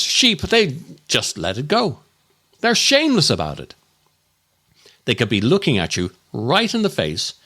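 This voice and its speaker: Man, comic podcast monologue, unhurried, very expressive intonation, exasperated, grumbling. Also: a little high. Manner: grumpy, exasperated, deliberate comic delivery. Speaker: podcast host and comedian.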